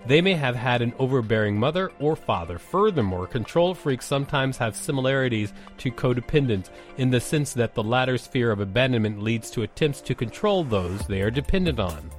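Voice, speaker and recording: A man co-hosts a podcast with music playing underneath. His voice sits at an average male pitch as he reads encyclopedic text aloud and comments casually. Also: expressive, a little fast, hardly any pauses. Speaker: podcast co-host.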